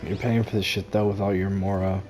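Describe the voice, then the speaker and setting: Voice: deep voice, level tone, a little fast, music in the background. A game streamer giving casual gameplay commentary in a blunt, sarcastic, sweary manner.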